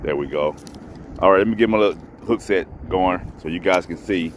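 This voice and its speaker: A man's voice: sits low, brisk. A man talking casually to viewers while fishing from a pond bank, filmed on a head-mounted camera. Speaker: fishing vlogger. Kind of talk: casual outdoor fishing commentary. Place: grassy pond bank outdoors. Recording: faint outdoor background noise.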